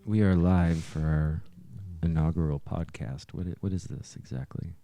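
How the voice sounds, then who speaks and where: A man's voice: deep voice, steady tone, moderate pace, warm tone, faint music behind. A man co-hosting a casual podcast, explaining things in a rambling way and often repeating his words. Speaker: podcast co-host, musician.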